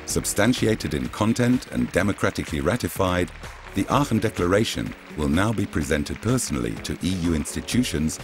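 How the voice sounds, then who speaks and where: Male documentary narrator, very low and expressive, formal and measured, with music underneath. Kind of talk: scripted promotional voice-over. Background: music bed.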